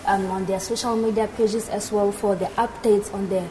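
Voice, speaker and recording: A young woman with a South African accent gives explanatory answers as an interview guest. Her voice sits at a middle pitch. There is clear noise in the background of the recording. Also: little pitch movement, moderate pace. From South Africa, Northern Cape. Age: 20s. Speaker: interview guest, aircraft maintenance engineer. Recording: noticeable background noise at live event.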